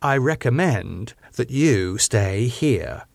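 A male narrator plays a firm, composed woman in charge in an audiobook. She is polite yet insistent, with an expressive pitch. The recording is dry and close. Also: takes it slowly, creaky, dry recording. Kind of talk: audiobook character dialogue.

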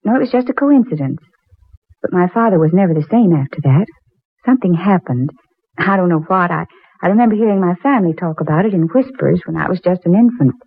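A warm young woman in a 1940s drama, gently wistful. Her voice is husky and comes through a narrow, muffled old broadcast recording. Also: on the low side, lively intonation, fast. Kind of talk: 1940s radio drama acting.

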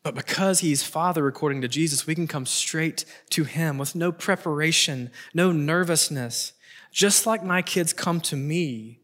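Man, middle-aged, slightly high pitch, preacher, expository sermon delivery, earnest, emphatic, deliberate pausing. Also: fairly bright tone.

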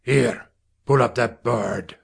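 A man voicing a gruff London father, talking in a hoarse grunt just above a whisper. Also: medium pitch, slow pace, heavily acted. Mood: defiant. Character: gruff thieving London father, curt and coarse.

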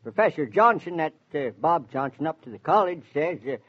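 An excitable old in a radio drama. His voice is high and husky, leaping up and down with rustic, folksy pride. The old recording is dull. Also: brisk. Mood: proud, boastful. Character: excitable, proud old rural farmer, cow enthusiast. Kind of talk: 1940s radio acting. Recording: muffled 1940s radio recording.

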